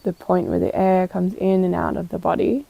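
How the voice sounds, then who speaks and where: A woman guiding a meditation as a yoga teacher, calm and gentle, giving unhurried instructions with pauses. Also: fairly low pitch, gravelly, no room sound, muffled recording. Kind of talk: guided meditation.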